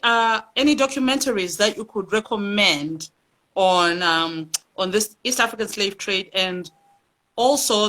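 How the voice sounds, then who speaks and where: A woman's voice: mid-range voice, pushed and bright, rough recording. A woman hosting an online literary interview, giving short acknowledgements and reading out questions from the audience. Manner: brief backchannels, reads audience questions. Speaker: host.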